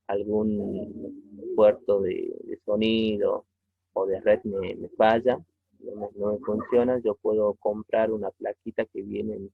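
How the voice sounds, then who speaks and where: A man teaching a technology lesson informally, explaining step by step in a halting way with short checking questions. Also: fairly low pitch, gravelly, husky, no room sound. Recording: tinny phone-like narrow-band audio.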